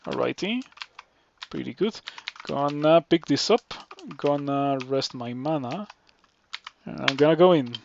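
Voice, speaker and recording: Mexican man with a gravelly voice. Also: mid-range voice, expressive, muffled recording, a little hiss or hum. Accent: Mexican Spanish. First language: Spanish. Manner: measured, filler-heavy thinking aloud. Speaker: game streamer. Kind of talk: live gameplay commentary.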